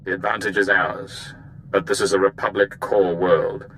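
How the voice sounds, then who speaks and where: A man playing a no-nonsense army captain in a video game, giving brisk, matter-of-fact briefings. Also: low-pitched, fairly even intonation, fairly bright tone, a little hiss or hum, rough recording.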